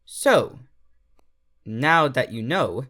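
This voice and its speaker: A man voicing a polite, self-assured male cartoon pony who speaks in a measured, emphatic way. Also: medium pitch, big pitch swings, pushed and bright, exaggerated voice. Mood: friendly.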